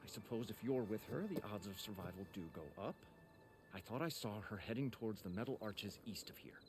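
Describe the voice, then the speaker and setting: An actor playing a blunt, money-minded merchant in a video game who gives dramatic, fretful warnings. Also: male voice, fairly low pitch, fairly quick, lightly acted. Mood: grudging. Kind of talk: video game character acting. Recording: music behind the voice.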